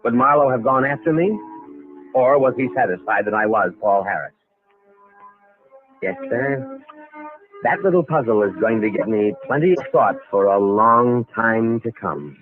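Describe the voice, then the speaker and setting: A man's voice: medium pitch, expressive, a little slow, long pauses, natural voice. A man playing a slick, self-satisfied young impostor in a vintage radio mystery. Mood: smug, musing. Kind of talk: 1940s radio mystery acting. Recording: tinny vintage radio recording with music. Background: music.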